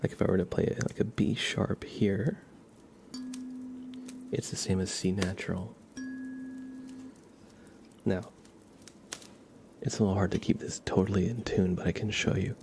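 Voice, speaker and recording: Low, creaky, soft-spoken ASMR man. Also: fairly even intonation, a little slow, pauses often, background noise. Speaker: ASMR live streamer. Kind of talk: ASMR, casual informal music explanation to live chat.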